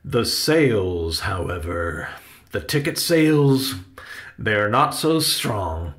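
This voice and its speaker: Man playing a grandly dramatic stage-actor uncle, animated. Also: on the low side, slow, exaggerated voice. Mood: grave, worried. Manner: declamatory, emphatic, dramatic.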